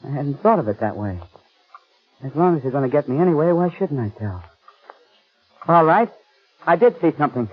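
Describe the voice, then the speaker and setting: An actor playing a frightened murder witness with frayed nerves, overwrought and halting, in 1930s radio style. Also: male voice, a little high, very animated. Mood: fearful. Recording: muffled old 1930s radio recording.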